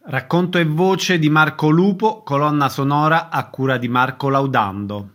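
A man's voice: fairly high pitch, moderate pace, a bit bright. A man reading scripted radio announcements.

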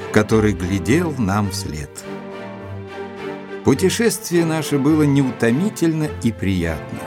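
A loyal gentleman narrator with a low voice and measured delivery. He sounds composed, like a man recounting his memoir in an audio drama, with music clearly underneath.

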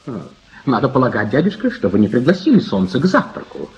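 A man's voice: medium pitch, big pitch swings, fast, darkish tone, husky, heavily acted. An actor playing a polite young nephew, courteously and patiently coaxing his elder in radio drama style. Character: polite, gently prodding young nephew, engaged to marry. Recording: dull archive radio recording.

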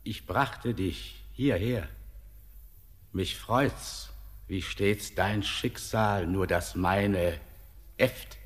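Classic radio drama: ominous ghostly old man, deepish voice, very drawn-out poetic delivery, cavernous reverb. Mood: grimly exulting. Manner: solemn, declamatory, drawn-out phrasing. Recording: long hall-like reverb.

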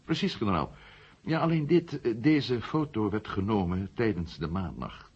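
Provocative ex-astronaut, husky, animated delivery, argumentative, rhetorical, radio play. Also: male voice, on the low side, normal speed. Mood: insistent. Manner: argumentative, rhetorical, building his case point by point. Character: outspoken ex-astronaut turned maverick writer, male, confident and provocative. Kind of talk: 1970 Dutch radio drama acting. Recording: muffled old radio drama tape.

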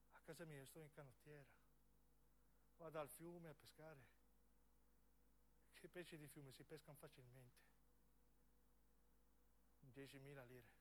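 A man's voice: on the high side, a little hiss or hum, voice pushed down, close to an everyday voice. A man playing a homesick young southern policeman, confiding wearily and plaintively as if to his mother in a radio monologue.

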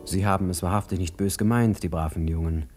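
Man playing inner-voice narrator, deep, husky, brisk, insistent, reasoning, radio drama. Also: over music, close to an everyday voice. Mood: probing.

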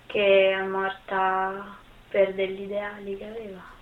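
Woman in her twenties, casual interview, medium pitch, roomy echo, faint noise. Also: monotone, slow, narrow, tinny audio. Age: 20s.

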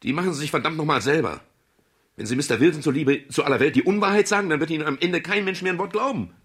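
An actor playing a middle-aged private detective in a radio crime drama, wry, dry and expressive in dialogue and narration. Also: male voice, on the high side, quick pace, husky. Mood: exasperated. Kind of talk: 1970s German radio crime drama acting and first-person narration.

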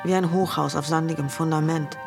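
An actress playing an earnest, disillusioned idealist in a reflective radio play monologue. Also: female voice, deep voice, fairly even intonation, brisk, creaky, music in the background.

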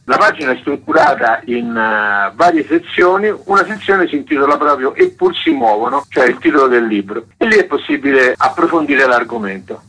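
A man's voice: medium pitch, big pitch swings, brisk, creaky, hardly any pauses, pushed and bright, breathy edge. A man, an author being interviewed on radio, explaining things with enthusiasm and emphasis over a tinny link. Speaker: interviewed author and researcher of Rome's monuments.

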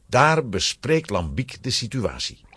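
A man's voice: mid-range voice, very animated, measured pace, a bit bright, a little hiss or hum. A man narrating a children's audio play in a scripted storytelling style.

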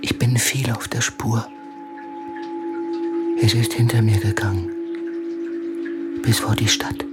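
An actor playing a haunted, paranoid young soldier in a radio play, speaking in halting, broken phrases. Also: male voice, on the low side, level tone, very noisy. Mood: agitated, distracted. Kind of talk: German-language radio play acting. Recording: music behind the voice. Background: music.

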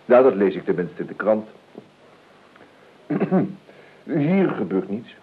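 An eccentric, evasive gentleman in a radio drama. He stammers and repeats himself with ironic courtesy, swinging widely in a low register. The old recording is thin and narrow. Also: very animated, slow pace, husky, exaggerated voice. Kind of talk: radio play acting. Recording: tinny, band-limited old radio recording with noise.

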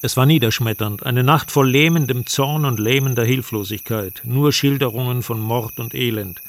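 An actor playing a sober expedition member who narrates his journey for a radio drama in a measured, descriptive way. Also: medium pitch, a little hiss or hum, lightly acted. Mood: sober, sombre. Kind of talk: scripted first-person radio drama narration.

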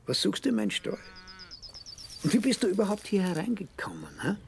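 A gravelly old farmer. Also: male voice, high voice, huge pitch swings, brisk, a little mellow, a little hiss or hum, full character voice. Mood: folksy. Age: older. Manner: folksy, mocking, gravelly. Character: sly old male farmer, folksy and evasive. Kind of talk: radio play acting.

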